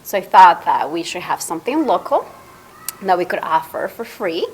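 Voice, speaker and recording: Female library worker, slightly low pitch, interview answers, faint ambient noise. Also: sing-song, moderate pace, low-quality recording. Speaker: library staff member being interviewed.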